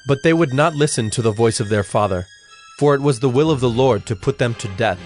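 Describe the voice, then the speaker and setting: A man narrating scripture from a script in a measured, solemn manner. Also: medium pitch. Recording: faint music behind the voice.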